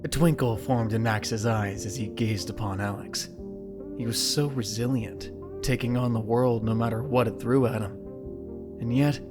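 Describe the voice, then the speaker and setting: A man giving scripted, dramatic story narration for an audio drama. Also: mid-range voice. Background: background music.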